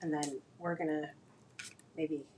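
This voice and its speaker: A woman's voice: deep voice, fairly even intonation, slow pace, warm tone, a little hiss or hum. A woman hosting a card-making live stream, chatting playfully and thinking aloud while she works. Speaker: craft live stream host.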